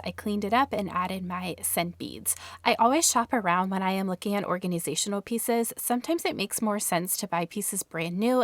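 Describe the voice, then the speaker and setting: Woman vlogger, slightly raspy from allergies, friendly upbeat voice-over, mid-range pitch, soft music underneath. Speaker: home decor vlogger. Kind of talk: scripted voice-over narration.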